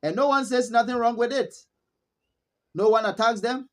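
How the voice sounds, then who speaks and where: A man from Ghana speaks with a Ghanaian English accent, and his voice sits fairly high for a man. He tells stories to camera in a relaxed vlog style. He chuckles often and doubles up words for emphasis. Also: normal speed. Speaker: vlogger. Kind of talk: casual storytelling to camera.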